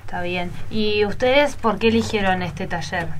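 A woman's voice: medium pitch, normal speed. A woman with an Argentine accent hosting a casual radio interview in a studio. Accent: Argentine Spanish. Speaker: radio host. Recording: faint studio background noise.

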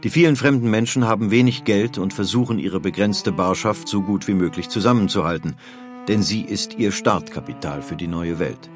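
A man's voice: low voice, normal speed, over music. A man reading scripted historical documentary material as a narrator.

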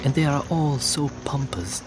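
Actor as a reserved, polite gentleman over music. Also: mid-range voice, lively intonation, unhurried, lightly acted, rough recording. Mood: somber, observing. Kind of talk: radio drama, literary narration and dialogue.

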